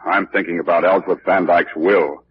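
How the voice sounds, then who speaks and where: An actor playing a blunt, confident police commissioner in a 1940s radio mystery style. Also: male voice, deep voice, fairly even intonation, moderate pace, lightly acted. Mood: brusque certainty. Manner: blunt, assertive. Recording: dull old radio recording, faint noise.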